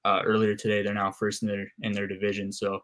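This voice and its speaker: Flat, steady-voiced man talking sports. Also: on the low side. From Canada. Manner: steady and measured, frequent "uh"s. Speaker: guest co-host. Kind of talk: casual sports analysis.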